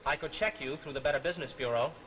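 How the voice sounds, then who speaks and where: An actor playing a brash, nosy American freelance writer in a 1950s drama, pushy, sardonic and insinuating. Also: male voice, medium pitch, racing, lightly acted. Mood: needling, sardonic. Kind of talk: 1950s live television drama acting. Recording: muffled 1950s broadcast with music behind.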